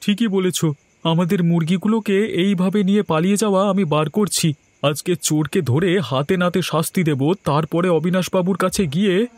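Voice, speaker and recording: Excitable village husband acted by a man, higher-pitched. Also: moderate pace, natural voice. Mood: angry, determined. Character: excitable village husband and father, easily frightened. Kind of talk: dubbed horror cartoon dialogue.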